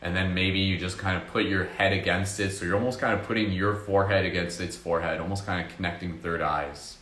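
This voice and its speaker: Low-voiced guy talking you through a meditation, slow and soft, kinda echoey room. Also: steady tone. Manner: slow, soft-spoken, hypnotic with casual fillers. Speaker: meditation guide. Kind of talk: guided meditation.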